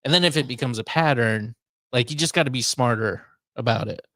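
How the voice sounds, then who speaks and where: A man's voice: medium pitch, brisk, a bit bright, no room sound. Easygoing male talk-radio host, dry sound. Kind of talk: casual talk radio chat.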